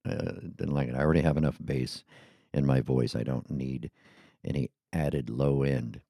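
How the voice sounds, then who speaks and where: A middle-aged man with an extremely deep, gravelly voice talks through a casual, rambling podcast monologue and keeps drifting off topic. His tone is dark and mellow. The sound is dry and close, recorded on a studio mic in a small home studio with sound panels. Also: steady tone, normal speed, no echo. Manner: rambling, chatty, goes off on tangents. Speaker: podcast host. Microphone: studio microphone.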